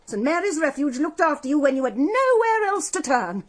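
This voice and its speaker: An actress playing a prim, self-righteous English matron in a radio drama, stern and moralising. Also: female voice, high voice, sing-song, normal speed. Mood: righteous outrage. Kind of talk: British radio drama acting.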